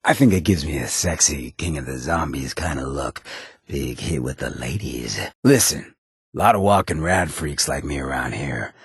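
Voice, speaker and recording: An actor playing a swaggering, roguish and menacing ghoul mayor in a video game, with a raspy, streetwise, slangy drawl. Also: male voice, very deep voice, no echo, studio quality, heavily acted. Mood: cocky, welcoming. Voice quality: very raspy, gravelly rasp. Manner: streetwise slangy drawl, cocky and smooth-talking. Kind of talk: video game character dialogue.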